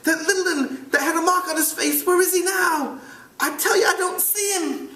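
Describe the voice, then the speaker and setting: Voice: extremely high pitch, normal speed, sharp tone, pitched above the performer's own voice. A reader voicing a fussy, indignant schoolboy with glasses who scolds and pleads, recorded in a roomy space away from the mic. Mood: anxious. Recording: roomy sound, distant mic.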